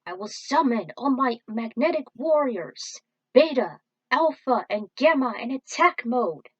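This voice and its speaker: A woman voicing a confident young male duelist who commands his monsters in bold, loud proclamations. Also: fairly high pitch, animated, unhurried, highs cut off, voice pushed up. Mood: defiant.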